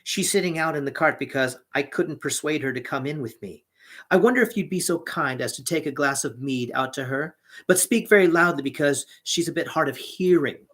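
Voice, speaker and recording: A man voicing a clever young peasant in a fairy tale, speaking in a raised, excited voice. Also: fairly high pitch, a little fast, close to an everyday voice. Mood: sly.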